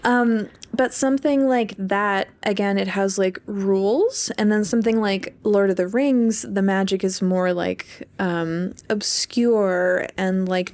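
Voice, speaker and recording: A woman's voice: mid-range voice, a little mellow. A young woman co-hosting a podcast, talking in a casual, hedging, informal way with the odd swear word. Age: young adult. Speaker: podcast co-host and PhD student.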